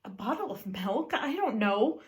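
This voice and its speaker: A woman reader plays a mild, cautious husband from a picture book. The lines come very slowly, drawn out and hesitant. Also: a little high, big pitch swings. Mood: puzzled.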